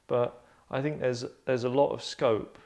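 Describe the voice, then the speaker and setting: A man in his thirties with a medium-pitched voice chats casually as host of a group discussion. His delivery is deadpan and meandering, as if thinking aloud about his own writing. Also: unhurried. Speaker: writer and host. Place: furnished indoor lounge.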